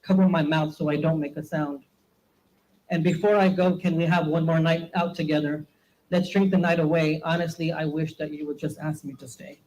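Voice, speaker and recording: A man's voice: a little high, little pitch movement, moderate pace, noisy background. A man performing a spoken word poem at an open mic, with an intimate delivery built on repeated refrains.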